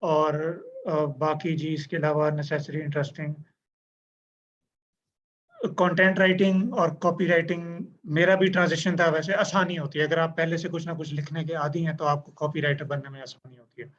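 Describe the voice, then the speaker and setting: A man's voice: a little high, fairly even intonation, moderate pace, a bit bright. A man hosting a casual online interview, chatty and agreeable, with joking asides. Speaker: host. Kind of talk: casual Urdu-English interview. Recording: dull lo-fi sound with faint noise.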